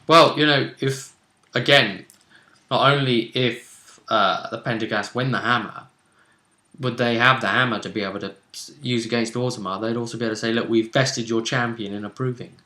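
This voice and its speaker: A man hosting a casual discussion of a tabletop game, talking thoughtfully with drawn-out words and frequent restarts. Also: medium pitch, bright, forceful tone. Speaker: game master and co-commentator. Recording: roomy echo.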